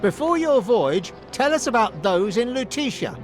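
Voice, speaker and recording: High-pitched, lively clever Gaulish warrior. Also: male voice, normal speed. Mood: firm. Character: clever, level-headed small Gaulish warrior man, quick to plan. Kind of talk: animated game cutscene acting. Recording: music behind the voice.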